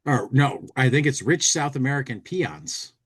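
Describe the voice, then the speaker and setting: A man's voice: mid-range voice, expressive, normal speed, a bit bright, breathy edge. A man hosting a casual talk show, thinking aloud as he chats and reads bits from a magazine. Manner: thinking aloud while working. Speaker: podcast host.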